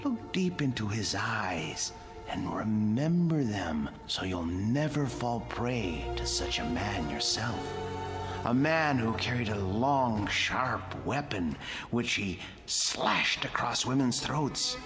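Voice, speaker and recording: An actor playing a devoted, intense museum curator in an audio drama, precise, polite and theatrical, with music underneath. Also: male voice, fairly low pitch, very animated, slow pace. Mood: macabre, theatrical. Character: devoted, brooding middle-aged male wax museum curator, deferential and intense.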